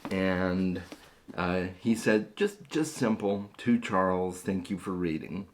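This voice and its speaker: A hoarse man hosting a book vlog, chatting casually to camera and often repeating words as he starts sentences. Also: deep voice, slow. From Phoenix area, USA. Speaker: book vlogger.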